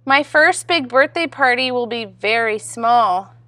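A woman's voice: fairly high pitch, expressive, a little slow, bright, forceful tone, dry recording, voice pushed up. A woman voicing a proud, curious little boy rabbit of nearly five in a storybook reading, emphatic and questioning. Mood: earnest.

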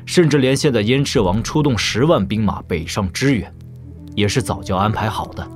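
A male actor plays a young warlord prince in a wuxia audio drama. He speaks with relaxed authority in a lowish, expressive voice, shrewd and unhurried, over music. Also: lightly acted. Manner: measured, casual authority.

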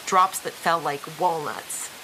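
A woman narrating an audiobook in a dramatic, deliberate style with weighted pauses and emphasis. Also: deep voice, steady tone, noisy background.

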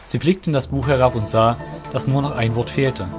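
A man's voice: mid-range voice, steady tone, normal speed. A man narrating a scripted fantasy audio drama as its storyteller. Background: music.

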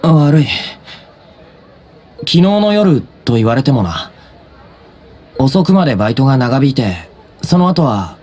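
A man playing a blunt, laid-back teenage high school boy in a Japanese anime game, with casual, gruff, slangy phrasing. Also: big pitch swings, slow, pauses often, a little mellow, exaggerated voice. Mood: awkward.

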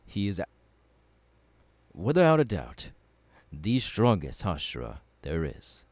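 A man's voice: low-pitched, wildly swooping pitch, slow pace, darkish tone, no room sound, heavily acted. A man playing a passionate, courteous young warrior mentor in an ASMR audio roleplay, speaking in an earnest, formal and encouraging way. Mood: earnest, proud.

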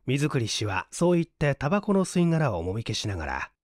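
A man's voice: medium pitch, very animated, fairly quick, no room sound, clean studio sound. A man reading scripted literary narration as an audiobook narrator.

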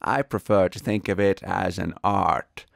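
A man's voice: low-pitched, steady tone, measured pace, gravelly, no room sound, studio quality, full character voice. An actor playing a genteel, self-serious veteran Hollywood sound-effects artist in a comedy mock interview, savouring his words pompously. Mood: proud, earnest.

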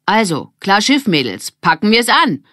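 A woman voicing a bold, bossy girl gang leader in a children's story, loud, commanding and spirited. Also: medium pitch, big pitch swings, unhurried, no echo, studio quality, voice pushed up. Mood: rallying.

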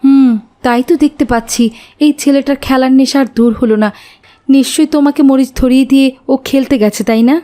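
A woman voicing a warm-hearted, hospitable village mother in a cartoon, with a motherly manner. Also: sits high, fairly even intonation, a little fast, close to an everyday voice. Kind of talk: cartoon dialogue.

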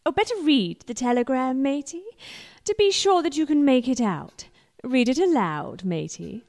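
A woman's voice: sits high, animated, normal speed, darkish tone, in a large hall. A woman playing a commanding upper-class lady in a radio play, polite, imperious and coolly ironic.

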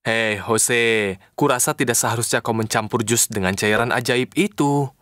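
A man's voice: medium pitch, normal speed, fairly bright tone, a little hiss or hum, close to an everyday voice. A man voicing a friendly, reassuring helper in a children's cartoon, with an encouraging, swooping delivery. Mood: helpful.

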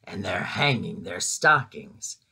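Storybook grump played by a man: sour male monster, low growl, husky, scheming and snarly. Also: expressive, slow pace, bright, forceful tone, heavily acted, rough recording. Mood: bitter, irritated.

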